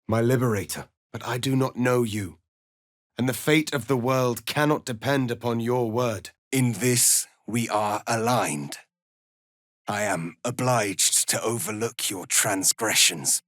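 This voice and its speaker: An actor playing a proud, stern, noble alien rebel leader in a fantasy video game, speaking formally and with deliberate, solemn authority. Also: male voice, medium pitch, fairly bright tone, no room sound, clean studio sound, close to an everyday voice. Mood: grave, measured. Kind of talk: dramatic fantasy game acting.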